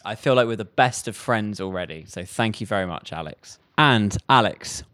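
A man's voice: on the low side, animated, normal speed, a bit bright. A man hosting a radio show, chatting in a playful, upbeat way. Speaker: radio host. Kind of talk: casual chat. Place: festival radio base.

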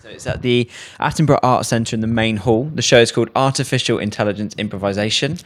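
A man's voice: medium pitch, quick pace. A man hosting a radio show, chatting in a playful, upbeat way. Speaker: radio host. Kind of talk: casual chat. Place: festival radio base.

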